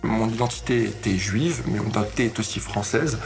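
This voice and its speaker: Brief: young man in his twenties, gravelly, hesitant interview talk, faint music bed. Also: on the low side, a little fast. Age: 20s. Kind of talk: documentary interview testimony.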